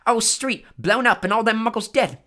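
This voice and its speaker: A reader voicing Stan, a chatty young male bus conductor who gossips loudly in working-class London speech. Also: mid-range voice, lively intonation, fairly quick, pitched above the performer's own voice. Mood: excited gossip.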